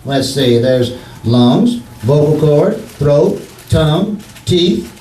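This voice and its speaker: A man's voice: fairly high pitch, animated, takes it slowly, mellow. A male actor plays a good-natured, blustering husband from a 1940s radio comedy, full of slangy, puffed-up talk. He is heard at some distance on an echoing stage, with faint hiss. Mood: mock-pompous. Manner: slangy, mock-pompous, blustering. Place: theater stage before live audience.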